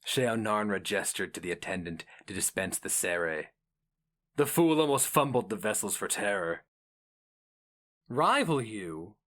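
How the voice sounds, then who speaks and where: A man giving a solemn, theatrical and deliberate dramatic reading of a fantasy story. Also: on the low side, animated, fairly bright tone. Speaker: narrator.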